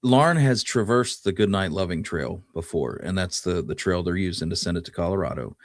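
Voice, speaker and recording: A man co-hosting a talk show, telling history conversationally and pausing now and then to recall details. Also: deep voice, lively intonation, dry recording, studio quality. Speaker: podcast co-host.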